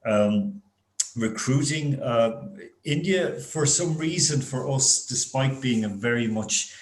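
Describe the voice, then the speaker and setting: A man's voice: mid-range voice, expressive, takes it slowly. A man with an Irish accent, a university international relations director, chatting in a rambling, anecdotal way on a video call webinar panel. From Ireland. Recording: echoey room on video call.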